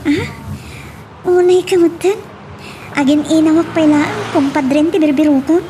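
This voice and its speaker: A woman acting a gentle, questioning young wife and daughter in an Ilocano radio-style drama. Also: very high voice, a little slow, background noise. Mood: doubtful.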